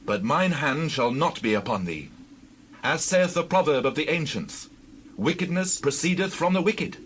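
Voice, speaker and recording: An actor playing an earnest, righteous warrior leader in a dramatized scripture reading, with declamatory delivery. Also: male voice, on the high side, a bit bright, slight background noise. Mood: impassioned appeal.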